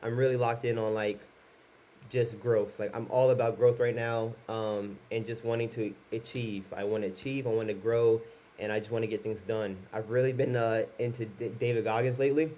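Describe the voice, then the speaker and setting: A man's voice: mid-range voice, level tone, moderate pace, warm tone. A man in his twenties talking candidly to camera in his first video, rambling a little and restarting his sentences. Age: 20s. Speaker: YouTuber. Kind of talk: casual talk to camera.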